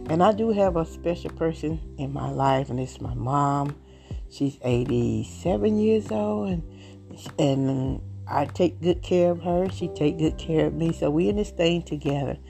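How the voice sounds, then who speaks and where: A woman in her late fifties with a Southern US accent. She speaks in an earnest, rambling way. Her voice is dark and gravelly, and faint instrumental music plays behind her. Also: low voice, measured pace. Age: 50s. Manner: earnest, rambling, warm-hearted testimony. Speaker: podcast host. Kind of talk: casual talk.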